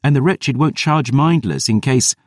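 A man's voice: medium pitch, normal speed, no room sound, studio quality, voice pushed up, lightly acted. A man voicing a stubborn, defiant teenage girl. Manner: insistent, defiant.

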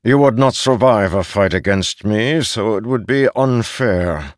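Man doing stern immortal herald, calm and imperious, slow measured delivery, lively pitch, dry close sound. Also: dry recording. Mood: coldly commanding. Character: solemn immortal male herald, calm and commanding.

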